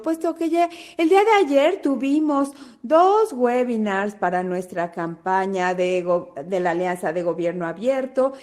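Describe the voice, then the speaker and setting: Slow-talking Argentine woman hosting a webinar. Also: medium pitch, mellow. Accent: Argentine Spanish. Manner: unhurried, greeting attendees one by one. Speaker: webinar host. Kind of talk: live webinar welcome. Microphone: video call.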